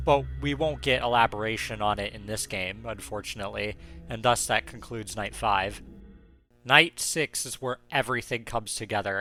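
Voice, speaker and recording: A man's voice: mid-range voice, pushed and bright, poor audio. A man giving scripted, suspenseful narration as a video essayist. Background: background music.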